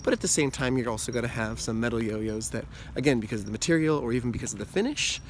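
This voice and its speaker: A man hosting his own channel, talking casually to camera with a slightly hoarse voice from a cold, explaining things in a relaxed, rambling way. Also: medium pitch, fast talker, slight background noise. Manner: relaxed, rambling explanation with frequent "you know" and "like". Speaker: yo-yo channel host.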